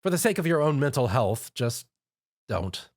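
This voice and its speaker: A man's voice: mid-range voice, big pitch swings, normal speed, no room sound, clean studio sound, voice pushed up. A man voicing a studious, earnest female unicorn pony who explains things a little exasperated.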